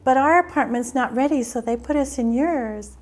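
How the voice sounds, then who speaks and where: A woman's voice: high-pitched, moderate pace. A woman in her sixties telling personal stories as a missionary in a documentary interview. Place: bright room at home. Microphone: lapel mic. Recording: music behind the voice.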